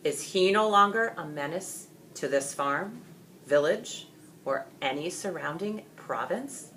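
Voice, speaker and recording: A woman voicing an authoritative male king in a storybook, speaking in a pompous, formal and deliberate manner. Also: expressive, bright, forceful tone, a little hiss or hum, rough recording. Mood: solemn authority. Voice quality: deep, authoritative voice. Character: solemn, commanding old king with sons.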